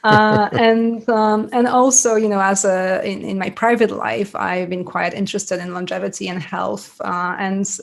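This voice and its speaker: A woman's voice: medium pitch, fairly even intonation, a little slow, creaky, few pauses. A woman with a Polish accent, a native Polish speaker, answering interview questions as an author in a thoughtful, self-correcting way with light laughs. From Poland. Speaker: author guest. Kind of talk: podcast interview.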